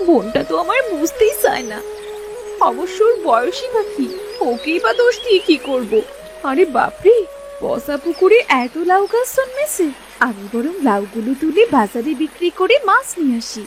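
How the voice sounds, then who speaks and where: Woman acting a motherly village elder sister, cartoon, high voice, very lively intonation, fussing, caring. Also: slight background noise. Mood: worried, lamenting, then hopeful. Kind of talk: animated moral story voice acting.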